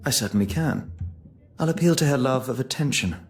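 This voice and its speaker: A man playing an earnest, kindly, polite gentleman who assists a detective in a mystery game. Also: medium pitch, animated, fairly quick, soft background music, close to an everyday voice. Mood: reassuring, polite. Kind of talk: scripted game dialogue.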